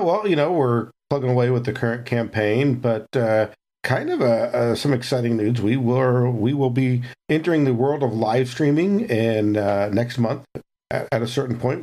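A man's voice: medium pitch, darkish tone. A man on a tabletop game podcast, speaking hesitantly with many filler words. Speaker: player and fellow podcaster. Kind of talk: casual podcast chat.

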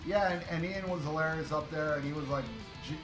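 A man co-hosting a podcast, talking in a loud, powerful voice in a brash, profane, boastful way. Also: on the high side, fairly quick, roomy sound, over music. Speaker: podcast co-host. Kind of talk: casual podcast chat.

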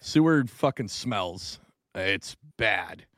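A man's voice: lowish voice, expressive, drawn-out words, fairly bright tone, no echo, studio quality. A man co-hosting a podcast, blunt and crude, roasting the others in casual banter. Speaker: podcast co-host.

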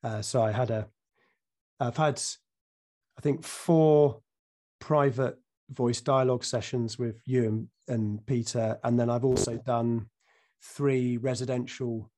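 A man hosting a podcast, croaky after a cold, speaking slowly with many ums and restarts as he introduces his guest over a remote call. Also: medium pitch, steady tone, dark tone. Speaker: podcast host. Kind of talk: casual interview.

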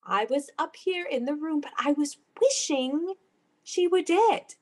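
A woman's voice: high-pitched, lively intonation, a little slow. An actress playing an obsessive, unhinged young woman in a melodramatic radio play, with a theatrical, breathless, intense manner. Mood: haunted, dread. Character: obsessive, unhinged young woman convinced of her dark power. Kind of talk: melodramatic old-time radio play acting.

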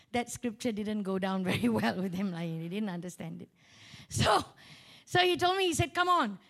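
A woman with a Malaysian accent preaching through a humorous, animated personal story, colloquial and full of local particles, acting out dialogue as she goes. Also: medium pitch, normal speed, gravelly. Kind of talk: storytelling sermon with acted-out dialogue.

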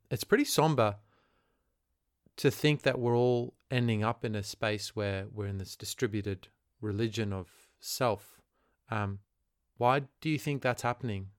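A man hosting an interview, asking questions in a reflective, halting way with many fillers. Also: on the low side, smooth, no echo, studio quality. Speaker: interviewer host.

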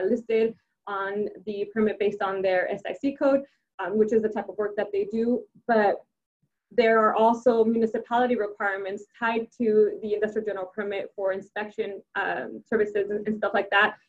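A woman's voice: mid-range voice, fairly even intonation, normal speed, lo-fi sound. A young adult woman, a native Spanish speaker, answering interview questions casually with many restarts and fillers. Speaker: civil engineer guest.

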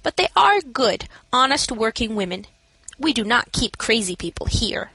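A solicitous middle-aged matron, voiced by a woman reading aloud, gently coaxing a stranger with concern. Her voice sits noticeably higher than plain speech. The sound is dry and close, with no room around it. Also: very animated, normal speed, gravelly, slightly rough, dry recording, exaggerated voice, rough recording.

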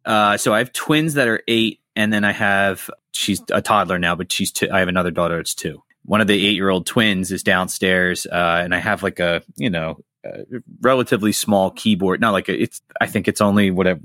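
A man's voice: sits low, fairly quick, sharp tone, no room sound, clean studio sound. A man co-hosting a podcast, easygoing and conversational, telling a story about his family. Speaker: podcast co-host. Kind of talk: casual podcast chat.

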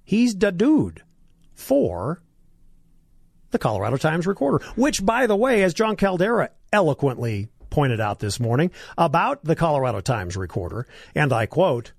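Hoarse, lively-voiced male radio talk host. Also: fairly high pitch, moderate pace, dry recording, studio quality. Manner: combative, sarcastic ranting. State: hoarse, coughing.

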